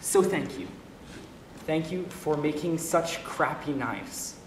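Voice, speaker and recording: A man's voice: on the high side, lively intonation, slow. A man playing a despairing but wry lonely young man in a confessional stage monologue. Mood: wistful, hopeful. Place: stage play recorded in a hall. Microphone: distant camera or room microphone. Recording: echoey hall from far off, noisy.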